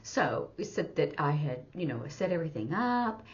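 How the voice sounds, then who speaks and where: Middle aged lady teacher w a low voice, chatty rambly storytelling, kinda muffled audio. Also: very animated, moderate pace. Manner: rambling, conversational. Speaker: sign language teacher. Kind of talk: casual storytelling in a lesson. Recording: slightly dull, lo-fi audio.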